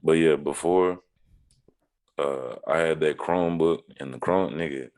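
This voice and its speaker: Very deep-voiced man rambling casually. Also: a little slow, darkish tone. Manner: slangy, profane, rambling. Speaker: podcast co-host or guest. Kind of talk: casual podcast chat.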